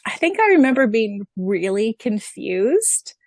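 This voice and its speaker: A woman in her thirties chatting casually as a podcast co-host, talkative and quick to giggle. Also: on the high side, very animated, a little mellow, dry recording, clean studio sound. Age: 30s. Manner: chatty, giggly, acts out quotes. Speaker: podcast co-host and audiobook reader. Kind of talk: casual fan podcast chat.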